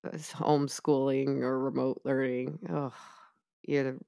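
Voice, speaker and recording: A woman with a hoarse, tired voice, chatting casually as a podcast co-host and laughing often. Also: very deep voice, little pitch movement, measured pace, warm tone. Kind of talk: casual chat.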